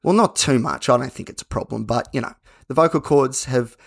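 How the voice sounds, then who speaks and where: An Australian man with a slightly croaky voice, hosting a solo podcast in a casual, candid, rambling way. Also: medium pitch, a little fast. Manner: conversational, rambling, self-correcting. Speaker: podcast host.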